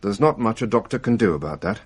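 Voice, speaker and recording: An actor playing a calm, matter-of-fact doctor who speaks in a measured, reassuring, slightly wry way in a radio drama. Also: male voice, on the low side, slightly rough.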